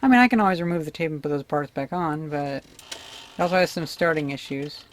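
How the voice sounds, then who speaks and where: A vlogger talks casually through a hands-on demonstration in a mid-pitched voice. The delivery is unscripted and tentative, thinking aloud, with light noise and clicks in the recording. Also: brisk. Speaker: vlogger demonstrating vintage audio gear.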